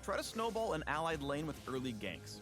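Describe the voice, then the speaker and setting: A man's voice: a little high, very animated, a little fast. A man reading scripted promotional narration for a video game spotlight in a polished, punchy, playful way. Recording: music under the voice.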